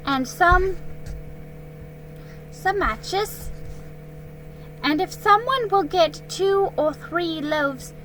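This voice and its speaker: Bustling housewife beaver, practical and fussy, slightly raised pitch , read by a woman. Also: pushed and bright, full character voice, low-quality recording. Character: bustling motherly female beaver.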